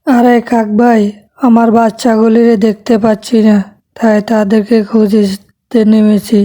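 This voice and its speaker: A woman's voice: a little high, little pitch movement, a little slow, dark tone, voice pushed up, close to an everyday voice. A woman voicing a caring mother tailorbird in a cartoon, with a simple motherly delivery. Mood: worried. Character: caring mother tailorbird searching for her little chicks.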